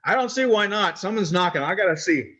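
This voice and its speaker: Male actor as naive son in radio horror: higher voice, very lively inflection, halting and earnest, devoted to his mother. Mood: anxious, insistent. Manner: halting, stammering, earnest, folksy. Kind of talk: old time radio horror acting.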